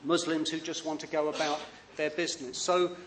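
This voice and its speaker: A man giving a public talk as an author, hesitant, with many fillers and restarts, often speaking quietly. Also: on the high side, flat delivery, a little fast, a little hiss or hum.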